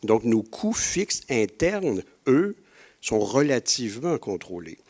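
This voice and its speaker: A man's voice: medium pitch, big pitch swings, slow pace, a little mellow. A man explaining methodically in a panel discussion, point by point as a publisher.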